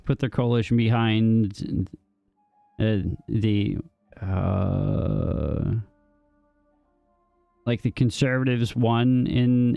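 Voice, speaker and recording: Streamer guy, slow gravelly talk, reads chat and rambles, faint music under it. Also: mid-range voice, darkish tone, no echo. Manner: rambling, answers chat with many ums and restarts. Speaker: live game streamer. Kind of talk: casual chat with viewers while playing.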